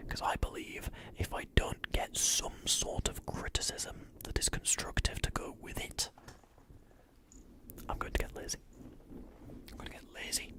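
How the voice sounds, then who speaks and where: A young man talking to his chat in a soft, rambling whisper on an ASMR stream, often repeating himself.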